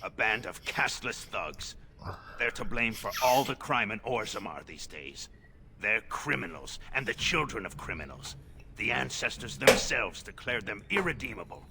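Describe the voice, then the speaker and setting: An actor playing an anxious, pleading old shopkeeper in a fantasy video game, given to stammering and indignation. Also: male voice, on the low side, sing-song, moderate pace, vocal fry, sharp tone, breathy edge, heavily acted. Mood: bitter indignation. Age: older. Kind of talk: video game character acting.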